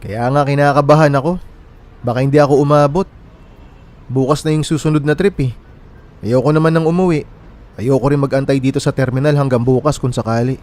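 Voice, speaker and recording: A man's voice: mid-range voice, fairly even intonation, a little fast, pauses often, a little mellow, lightly acted. An actor playing a friendly young man in a radio drama, talking in a casual, conversational way. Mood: worried, chatty. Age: young adult. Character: friendly, worried young man waiting at a bus terminal. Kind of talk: naturalistic radio drama dialogue in Tagalog.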